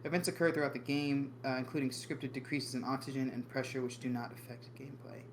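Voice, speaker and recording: A man co-hosting a casual group chat, reading a summary aloud haltingly and swearing offhand. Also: mid-range voice, fairly even intonation, slight background noise. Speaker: podcast co-host reading the premise.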